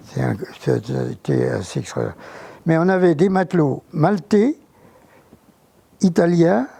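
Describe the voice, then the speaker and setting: An older man reminisces in a heavily gravelly, husky voice, warm and dark in tone. He talks haltingly, often stopping to restart his sentences. He sits for a recorded interview. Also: on the high side. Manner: hesitant, with many false starts.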